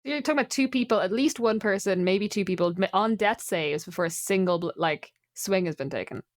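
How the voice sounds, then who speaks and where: Woman, medium pitch, animated, informal, teasing, casual tabletop podcast chat, dry close recording. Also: fairly quick, no echo. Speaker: podcast co-host. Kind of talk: casual chat about tabletop game monsters.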